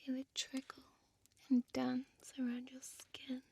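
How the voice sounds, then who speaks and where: A woman playing a seductive, possessive vampire innkeeper in an ASMR audio roleplay, whispering softly with hypnotic, commanding slowness. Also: high-pitched, completely flat delivery, a little hiss or hum. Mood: soothing, hypnotic. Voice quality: breathy, soft whisper. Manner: slow, hypnotic, coaxing, deliberate pauses.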